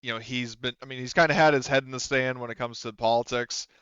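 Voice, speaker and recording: A man's voice: mid-range voice, steady tone, fast talker, fairly bright tone, clean tone, no room sound, highs cut off. A man narrating a tabletop game as game master in a casual, rambling way. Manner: rambling, loose phrasing heavy with "like".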